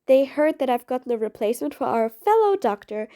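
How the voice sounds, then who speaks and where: Female supervillain for audio roleplay, played by a woman: high voice, sarcastic, mean yet patient, grudgingly soft. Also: big pitch swings, brisk. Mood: wry, menacing. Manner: sarcastic, dry, exasperated. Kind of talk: audio roleplay acting addressed to the listener.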